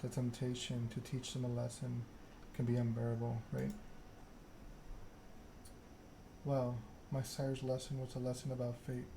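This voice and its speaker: A man voicing a proud, scheming vampire in a measured, self-important monologue, read aloud in an ASMR style from a game. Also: medium pitch, monotone, background noise, close to an everyday voice. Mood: bitter, resolute. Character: proud, scheming vampire aristocrat telling their story.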